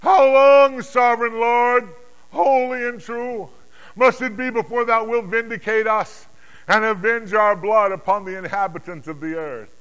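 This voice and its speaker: A man voicing the souls of slain martyrs in a loud, pleading cry from a dramatic scripture reading. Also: extremely high pitch, fairly even intonation, measured pace, clean tone, long reverb tail, voice pushed up, low-quality recording. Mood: anguished pleading. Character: slain martyr souls crying to God for vengeance, anguished.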